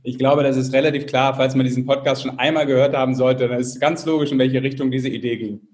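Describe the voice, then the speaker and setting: A man's voice: mid-range voice, fairly even intonation, quick pace, darkish tone, slight background noise. A man co-hosting a sports podcast in casual banter, teasing with dry sarcasm.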